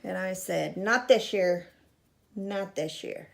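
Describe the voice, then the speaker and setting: A mid-thirties woman talks through her makeup routine in a chatty, self-deprecating way, full of little asides. Her medium-pitched voice carries a gravelly fry. She sits close to a fixed camera in a small room. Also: takes it slowly. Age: 30s. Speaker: makeup vlogger. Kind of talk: casual makeup tutorial chat. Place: small room in front of a gray curtain. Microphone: fixed camera at arm's length.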